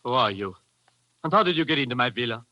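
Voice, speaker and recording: A tense, forceful man's voice on a dull old radio recording. He is a stout, wealthy villa owner, flustered and indignant, stumbling over words in vintage radio-play style. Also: medium pitch, lively intonation, quick pace, slight background noise. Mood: alarmed, indignant. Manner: stammering, startled, indignant. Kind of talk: 1940s radio acting.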